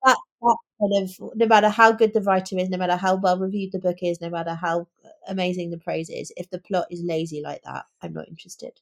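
A woman's voice: fairly low pitch, fairly even intonation, fast, gravelly. A woman with a British accent co-hosting a podcast, discussing books in an opinionated, conversational way. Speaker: podcast co-host. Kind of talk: casual book discussion.

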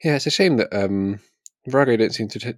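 A man's voice: mid-range voice, brisk, no echo, studio quality. A man co-hosting a podcast, chatting casually about books in a hesitant, self-correcting way. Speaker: podcast co-host. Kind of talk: casual book chat.

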